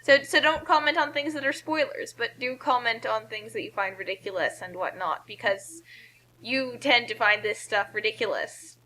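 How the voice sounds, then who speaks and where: A woman's voice: a little high, normal speed, pushed and bright. A woman co-hosting a read-along in a casual, chatty, explanatory way. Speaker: co-host reading fan fiction aloud. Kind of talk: casual banter and commentary.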